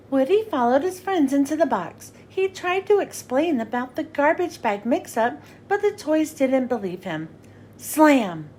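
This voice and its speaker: Woman, high voice, expressive storybook narration for kids, clear phrasing, slight background rumble. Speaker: children's read-aloud host.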